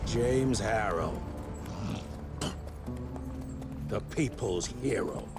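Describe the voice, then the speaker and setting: A gruff American gunslinger talks in a fairly low voice with dry sarcasm. He is a wisecracking veteran vampire hunter from a Western game, with loud music behind him. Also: takes it slowly. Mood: mocking. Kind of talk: game cutscene acting.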